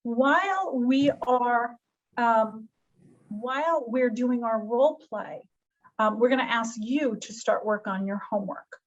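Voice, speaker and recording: A woman's voice: a little high, slow. A woman hosting a webinar over a video call, talking in a chatty, enthusiastic and self-deprecating way. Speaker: webinar host. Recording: dull lo-fi video call audio.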